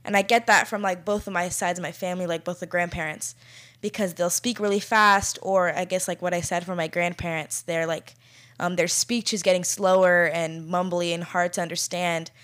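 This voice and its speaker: A woman's voice: fairly low pitch, steady tone, normal speed, a bit bright. A young American woman co-hosting a podcast, talking earnestly and reflectively in a casual way, with lots of "like" fillers. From United States. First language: English. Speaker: podcast co-host. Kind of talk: casual conversational chat.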